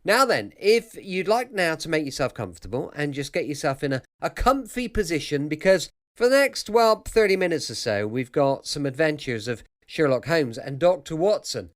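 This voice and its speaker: A man's voice: fairly high pitch, sing-song, normal speed, hardly any pauses. British male podcast host, very varied intonation, chatty late-night introduction, informal and reassuring.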